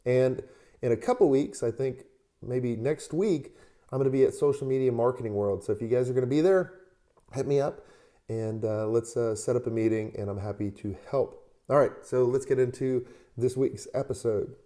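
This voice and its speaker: A man with a mellow, darker voice that is still hoarse, hosting his own advice show. He talks straight to his listeners in an upbeat, motivational coaching tone and urges them to take action. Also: medium pitch, normal speed. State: hoarse, recovering from a lost voice. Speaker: podcast host. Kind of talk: solo advice podcast.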